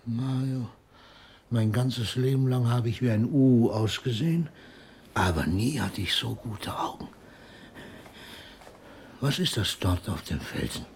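An older man acting in a radio play as an ailing old poet, grave and lyrical, declaiming and murmuring in halting phrases. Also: mid-range voice, expressive, slight background noise. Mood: urgent, curious.

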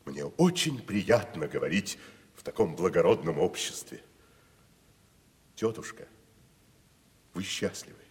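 A grandiose tragic actor. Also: male voice, deep voice, big pitch swings, a little slow, pauses often, darkish tone. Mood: mock-festive. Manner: theatrical, declamatory, mock-solemn. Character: grandiose wandering tragic actor, man, middle-aged. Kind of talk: 1970s studio radio play. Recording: reverberant studio.

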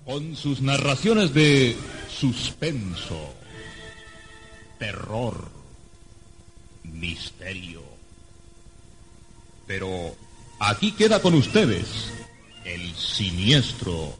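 An actor playing a sinister, gloating demonic host of an old radio horror drama, speaking slowly and theatrically. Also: male voice, lowish voice, very animated, full character voice. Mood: menacing, gloating. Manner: slow, theatrical, menacing. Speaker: narrator host of horror serial. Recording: old radio recording under loud music.

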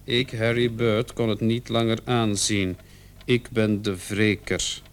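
An actor in a radio drama playing a composed policeman who reads a killer's confession aloud in a measured, deliberate way. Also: on the low side, steady tone, smooth. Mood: grave, declaiming. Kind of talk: radio drama acting, reading a letter aloud.

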